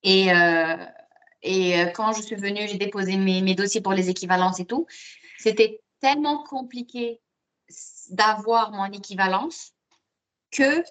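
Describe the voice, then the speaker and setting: A woman's voice: mid-range voice, measured pace, a bit bright. Woman from Morocco, hesitant conversational storyteller. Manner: hesitant, repeats words while thinking. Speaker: podcast guest; osteopath and student. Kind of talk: casual interview, personal storytelling.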